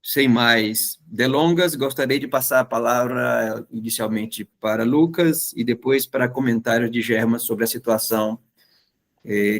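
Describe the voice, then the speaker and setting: Man hosting a political stream, mid-pitched voice, hesitant "eh" fillers. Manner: introduces the topic with "eh" fillers and restarts. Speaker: host. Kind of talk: livestream political talk.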